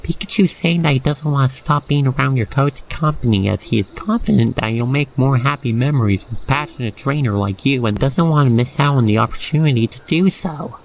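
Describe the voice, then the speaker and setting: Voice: medium pitch, brisk, creaky, hardly any pauses, darkish tone, poor audio. A narrator guiding the listener through a scripted ASMR roleplay in a soothing, playful storytelling manner. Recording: faint noise with chirping crickets. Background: night insect ambience.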